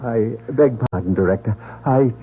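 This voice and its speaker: A man's voice: medium pitch, moderate pace, slight background noise, lightly acted. A man playing a feverishly eager, obsequious club member who longs for death, in an old-time radio mystery. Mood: servile. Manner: overwrought, obsequious. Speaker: radio drama actor. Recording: tinny old radio recording, humming.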